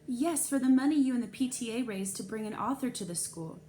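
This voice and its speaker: A coolly polite, defiant woman school librarian from a children's storybook, put on by a woman reader. Her voice is pitched up from plain narration, with some noise in the recording. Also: animated, fairly quick, mellow, close to an everyday voice. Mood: pointedly polite. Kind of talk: children's novel read-aloud dialogue. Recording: clear background noise.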